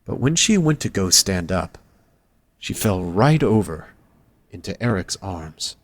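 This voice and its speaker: A man's voice: low-pitched, big pitch swings, measured pace, dry recording. A man reading scripted story narration as the narrator of an audio drama.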